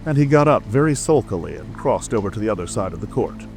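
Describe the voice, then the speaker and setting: A man's voice: on the low side, expressive, quick pace, a little mellow. A man reading a scripted storybook narration as a dramatic audiobook narrator. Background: music.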